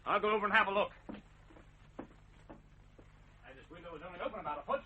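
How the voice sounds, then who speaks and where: An actor playing a brave security guard, urgent and authoritative, in an old radio drama. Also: male voice, sits high, quick pace, narrow, tinny audio, slight background noise, lightly acted. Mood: commanding.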